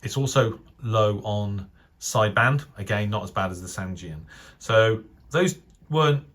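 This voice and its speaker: Low-pitched British man reviewing gear. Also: unhurried, fairly bright tone. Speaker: radio enthusiast reviewer. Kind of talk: casual unscripted review commentary. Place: voice-over over still images.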